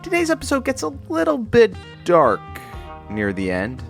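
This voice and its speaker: An actor playing an easygoing radio sidekick, casually giving listeners an advisory. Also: male voice, high voice, wildly swooping pitch, normal speed, creaky, full character voice. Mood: gentle, casual. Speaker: show announcer. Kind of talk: casual in-character content advisory. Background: plucked guitar music.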